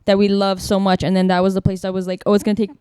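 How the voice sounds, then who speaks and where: A woman in her twenties, co-hosting a podcast and talking in a relaxed, reflective way. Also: medium pitch, very fast, clean tone, no room sound, clean studio sound. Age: 20s. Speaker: podcast co-host. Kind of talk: casual chat.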